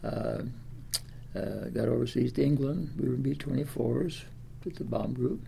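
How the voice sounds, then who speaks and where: A man's voice: medium pitch, measured pace, creaky, warm tone, a little hiss or hum. A man of ninety from Iowa, telling his life story in an oral history interview, rambling with many fillers and restarts. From Des Moines, Iowa. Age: 90s.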